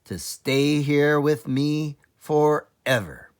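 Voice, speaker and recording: A man's voice: fairly high pitch, animated, drawn-out words, clear, resonant tone, pitched above the performer's own voice, full character voice. A man voicing a grinning, rousing fox leader who makes emphatic, theatrical speeches in a children's storybook. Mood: grandly inviting. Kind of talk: children's storybook read-aloud.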